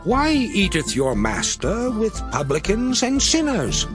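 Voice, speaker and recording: A man's voice: sits high, wildly swooping pitch, slow, music in the background, full character voice. An actor playing an accusing, indignant male Pharisee in dramatized scripture.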